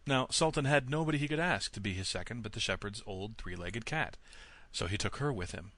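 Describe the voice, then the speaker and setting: A man reading a scripted fairy tale as an audiobook narrator, in an expressive, measured storytelling manner. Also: on the low side, no room sound.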